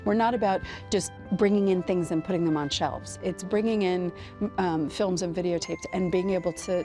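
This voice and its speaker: An American woman giving an unscripted documentary interview as an archive worker, halting and repeating phrases as she goes. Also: lowish voice. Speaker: film archive staff interviewee.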